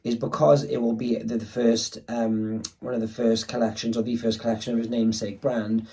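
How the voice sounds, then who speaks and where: Croaky, gravelly man from the UK. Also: mid-range voice, flat delivery, fairly quick, warm tone, recorded from a distance. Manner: rambling, repeats words, self-correcting. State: sore throat. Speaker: co-host, luxury reseller. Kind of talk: casual chat to camera.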